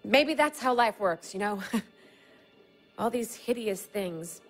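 An actress playing a candid, wistful, playful young American woman in a naturalistic audio play, confiding and animated. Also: mid-range voice, slow pace, faint music behind. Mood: wistful, exhilarated.

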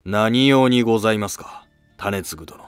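Guy doing sickly old scheming nobleman, terse archaic talk, low voice, period drama. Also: unhurried, clear, resonant tone, dry recording, close to an everyday voice. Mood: wary, puzzled. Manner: terse, archaic formal speech. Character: ailing elderly noble, wary male plot mastermind. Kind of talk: history drama acting.

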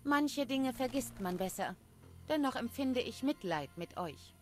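A woman voicing a proud dryad in a video game, speaking in measured, solemn, formal phrases. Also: fairly high pitch, expressive, faint music behind. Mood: cool, knowing. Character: grave female dryad, proud guardian of the forest. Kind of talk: scripted game dialogue.

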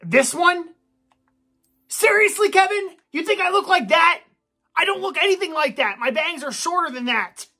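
A man's voice: extremely high pitch, animated, moderate pace, bright, forceful tone, full character voice. A man playing a boastful, touchy young gamer who talks cocky and gets defensive when teased. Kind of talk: comedy gameplay sketch.

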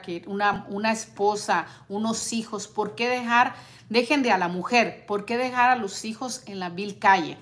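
A woman in her forties, a vlogger talking on a home livestream and reading out viewer comments in an earnest, indignant but measured way. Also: mid-range voice, a bit bright. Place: living room at home.

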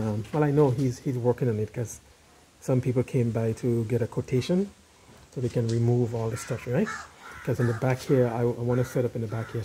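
A man's voice: mid-range voice, dark tone, a little hiss or hum, poor audio. Chatty man touring his new house. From Caribbean. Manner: chatty, keeps addressing viewers as guys. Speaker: vlogger giving a house tour. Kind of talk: casual walking house tour. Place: house and yard, indoors and out. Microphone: handheld phone camera, filming while walking.

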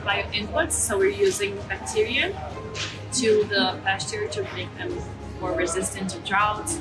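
A woman's voice: medium pitch, measured pace, loud music behind the voice. Earnest Brazilian woman farmer. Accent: Brazilian Portuguese. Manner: earnest, searching for words. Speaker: crop and cattle farmer. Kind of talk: interview answers. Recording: distant mic.

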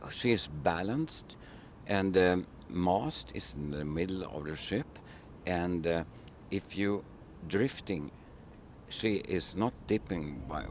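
A man, a ship captain speaking halting, word-searching English in an outdoor interview on a waterfront, into a handheld mic. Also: low voice, background noise. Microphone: handheld mic held by interviewer.

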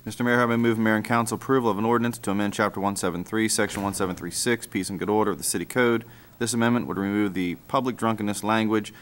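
A man's voice: on the low side, steady tone, quick pace, a bit bright. A man on a city council reading out formal motions into a desk microphone. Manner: rattles off formal motion text. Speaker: council member. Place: council chamber.

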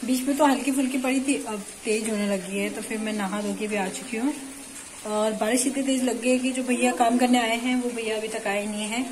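Young woman, casual phone vlog outdoors, slightly high voice, faint insects behind. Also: fairly even intonation, fairly quick, darkish tone, a little hiss or hum, low-quality recording. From India. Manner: chatty, narrates what she is doing to the camera. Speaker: vlogger. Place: outdoors at home and in a field. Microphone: phone camera.

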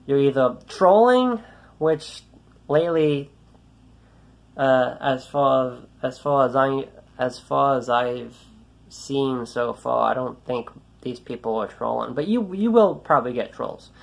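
A man's voice: mid-range voice, animated, slow pace, gravelly. Young man ranting into his phone. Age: young adult. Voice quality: light, youthful-sounding voice. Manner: frustrated, rambling, swearing, many restarts. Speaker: vlogger. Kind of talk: ranting selfie vlog. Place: small room indoors, then outdoors on a road. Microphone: phone held at arm's length.

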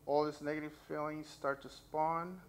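A man's voice: on the high side, level tone, moderate pace. A young man preaching from a church pulpit, sharing his own story and reading scripture aloud with earnest feeling. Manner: earnest personal testimony, reads scripture aloud. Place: church sanctuary stage. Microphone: stand mic at pulpit, far camera.

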